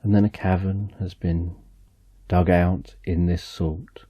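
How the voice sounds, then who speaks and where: Soft-spoken deep-voiced man guiding a relaxation story. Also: little pitch movement, breathy edge, dry recording, muffled recording. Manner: slow, soft, soothing, second-person guidance. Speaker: narrator. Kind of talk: slow guided relaxation story.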